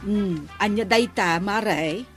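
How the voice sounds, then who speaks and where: An actress playing an earnest, advising village woman in a Filipino radio comedy drama, measured, with an Ilocano accent. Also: mid-range voice, over music, natural voice. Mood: curious.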